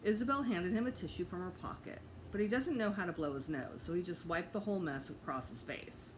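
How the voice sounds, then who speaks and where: A woman teacher reading a children's storybook aloud in an expressive narrating style. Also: fairly low pitch, brisk, a little hiss or hum, rough recording.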